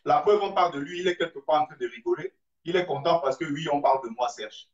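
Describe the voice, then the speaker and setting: A man hosting a live discussion, speaking in a measured way and addressing his viewers directly. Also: a little high. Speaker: live stream host. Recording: roomy, dull live stream audio.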